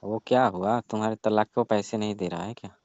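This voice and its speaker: A man's voice: on the low side, steady tone, fairly quick, darkish tone, dry recording, close to an everyday voice. A man playing a sly young friend of the family who coaxes and insinuates in a casual phone conversation. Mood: nudging. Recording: muffled lo-fi phone call recording.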